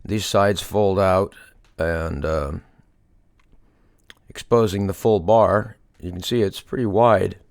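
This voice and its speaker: A man with a fairly low voice and a crackly, fry-laden edge talks through a project as a hobbyist. His delivery is unscripted and casual, a little hesitant as he works things out aloud. The sound is dry, with very little room in it. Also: slow pace, pauses often, no room sound. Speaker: hobbyist restorer presenting a project. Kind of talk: casual unscripted show-and-tell narration.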